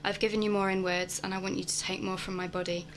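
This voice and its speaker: A woman's voice: mid-range voice, flat delivery, fast, slight background noise, natural voice. An actress playing a frank, hungry woman in a radio play monologue, blunt and insistent with her hesitant lover. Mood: urgent desire.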